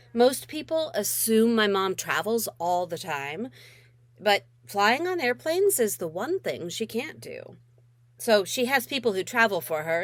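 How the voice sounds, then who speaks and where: Expressive woman reading a novel aloud. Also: mid-range voice, no room sound. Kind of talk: expressive first-person audiobook narration of a middle grade novel.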